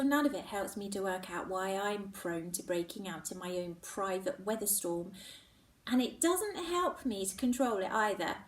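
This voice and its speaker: A woman reading a children's novel aloud in first person, expressive and dropping into hushed whispers. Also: medium pitch, recorded from a distance. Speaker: children's book reader. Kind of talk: first-person storybook narration. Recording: roomy echo, set back from mic.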